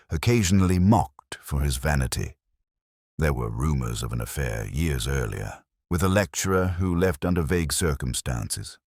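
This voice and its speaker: A man reading a scripted first-person detective story in a measured, literary, reflective manner. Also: very deep voice, dry recording, clean studio sound. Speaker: narrator.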